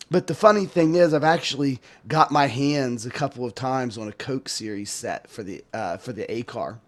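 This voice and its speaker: A man talks casually to his viewers as the host of a sim racing channel. His voice is hoarse from a sore throat. He rambles and restarts his sentences, and his pitch sits in the middle range for a man. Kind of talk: casual talk to camera.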